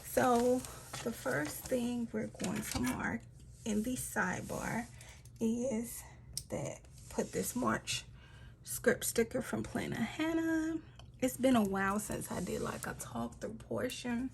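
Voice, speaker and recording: A woman talks through her planner spread at an unhurried pace, her fairly high voice carrying a gravelly, slightly raspy edge. She chats loosely and often drops into a soft half-whisper. Steady hiss and noise sit under the recording. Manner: relaxed, chatty, trailing off with fillers. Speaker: planner vlogger. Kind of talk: casual talk-through while decorating.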